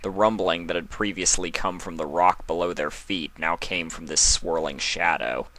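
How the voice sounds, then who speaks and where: A man reading fan fiction aloud as narrator, in an expressive storytelling style. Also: deep voice, moderate pace, no room sound.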